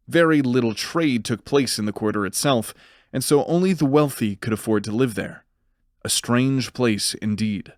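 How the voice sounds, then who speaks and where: A male narrator with a mid-pitched voice tells a dark fantasy story. His scripted delivery is measured and dramatic, suited to audio drama. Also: no room sound, clean studio sound.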